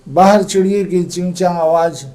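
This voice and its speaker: A man leads a guided meditation as a teacher, speaking in a calm, deliberate, instructive manner. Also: sits high, faint music behind.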